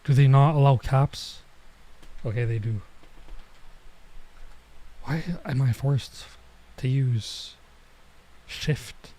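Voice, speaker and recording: A man's voice: mid-range voice, drawn-out words, long pauses, mellow, a little hiss or hum. A man giving casual gameplay stream commentary, muttering to himself in mildly exasperated slang. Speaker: streamer, VTuber playing a visual novel.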